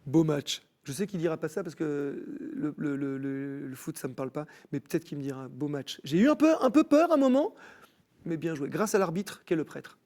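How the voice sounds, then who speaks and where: A man's voice: on the high side, normal speed. A man, a musician guest in a studio, telling a personal faith testimony and acting out the voices he quotes. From France. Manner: animated storyteller, acts out quoted voices. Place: TV studio set.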